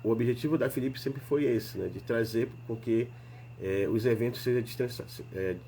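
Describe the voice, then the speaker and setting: A man's voice: mid-range voice, normal speed, mellow, a little hiss or hum. A man, congested from a cold, hosting a live stream in a casual, rambling way with many fillers.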